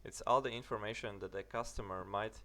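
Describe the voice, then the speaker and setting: A young British man narrating a website walkthrough, halting with many fillers and restarts. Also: fairly low pitch, fairly even intonation, gravelly, pushed and bright. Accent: British English. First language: English. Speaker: tutorial narrator. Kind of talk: screen-recorded tutorial walkthrough.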